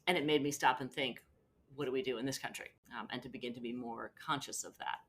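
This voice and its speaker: A person giving a measured, articulate interview answer. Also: medium pitch, steady tone, fairly bright tone, a little hiss or hum.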